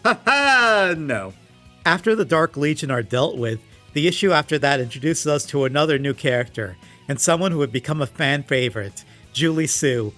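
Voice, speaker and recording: Man, somewhat high voice, scripted comedic review, sarcastic, exasperated, emphatic delivery, light music behind. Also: fairly bright tone. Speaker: video essayist reviewing comics. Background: background music.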